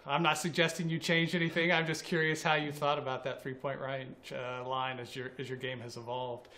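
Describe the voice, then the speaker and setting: A man's voice: mid-range voice, level tone, fairly quick, sharp tone. A man hosting a college sports interview, asking questions in a friendly, conversational way with fillers. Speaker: interviewer host.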